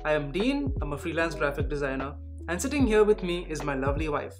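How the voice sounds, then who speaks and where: A man's voice: a little high, big pitch swings, fast talker. A man from Kuwait co-hosting a podcast teaser, giving a rehearsed, upbeat scripted introduction. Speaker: podcast co-host. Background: faint music.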